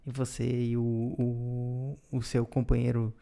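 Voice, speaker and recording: Calm man with a mellow voice. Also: mid-range voice, slow, vocal fry. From Brazil. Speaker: podcast co-host. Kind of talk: casual conversational storytelling.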